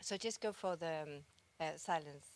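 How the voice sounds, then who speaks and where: Finnish woman, artist at a conference, lowish smooth voice, thoughtful and halting. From Finland. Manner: reflective, searching for words with frequent restarts. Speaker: visual artist giving a conference talk. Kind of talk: conference presentation. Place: conference hall stage.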